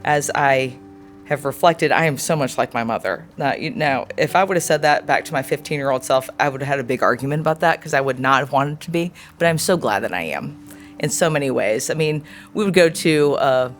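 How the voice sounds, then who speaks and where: A woman's voice: very deep voice, brisk, slight background noise. Earnest, reflective woman in her forties. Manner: earnest, thoughtful, searching for words. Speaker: interviewee. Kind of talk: reflective personal interview answer.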